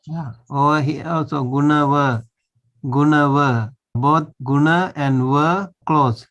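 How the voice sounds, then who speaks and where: Monk teacher on a video call, slow and patient, slightly high voice, keeps checking understanding. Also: male voice, a bit bright, low-quality recording. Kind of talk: online language class.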